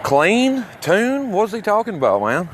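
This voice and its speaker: A man's voice: high-pitched, huge pitch swings, unhurried. Folksy Alabama man rambling outdoors, noisy background. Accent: Alabama Southern American. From Alabama, United States. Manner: folksy, boastful, coarse. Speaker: amateur vlogger. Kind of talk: rambling walk-along commentary. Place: outdoors, walking along small-town streets. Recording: noisy outdoor recording with traffic sounds. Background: outdoor ambience, passing vehicles.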